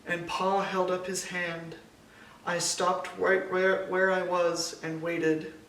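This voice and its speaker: A man with a fairly high, creaky voice reads a children's story at an unhurried pace. He pauses deliberately between phrases. The sound is roomy and a little distant from the mic. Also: level tone, a bit bright. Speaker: storybook reader. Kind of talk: scripted children's picture-book narration read aloud. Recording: echoey room, distant mic, faint noise.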